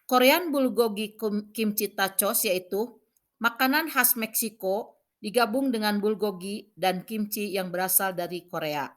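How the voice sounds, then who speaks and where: A woman, a teacher, narrating a scripted lesson and reading out points in short, measured, instructional phrases. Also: mid-range voice. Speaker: vocational school cooking teacher.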